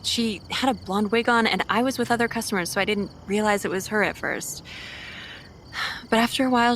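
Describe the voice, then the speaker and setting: Guarded waitress informant, medium pitch played by a woman. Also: fairly bright tone, slight background noise, close to an everyday voice. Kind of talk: audio drama dialogue.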